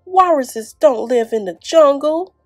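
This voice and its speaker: A man's voice: very high-pitched, expressive, slow, dry recording, clean studio sound, faint music behind, pitched above the performer's own voice, heavily acted. A woman reading a picture book as a bored, imaginative little boy, musing and exclaiming dramatically. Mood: excited daydreaming. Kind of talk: picture book read-aloud.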